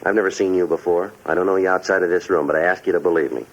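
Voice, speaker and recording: A deep husky cop, talks super fast n matter of fact, old radio police show. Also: male voice, fairly even intonation. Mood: earnest, urgent. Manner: terse, matter-of-fact, earnestly persuasive. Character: calm, persistent male police detective talking down a gunman. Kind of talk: 1950s radio police drama acting. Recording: muffled 1950s radio recording.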